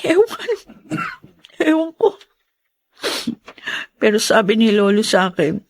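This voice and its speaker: An actress playing a sorrowful, polite teenage orphaned daughter in a Filipino radio drama. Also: female voice, medium pitch, darkish tone, slightly rough, dry recording.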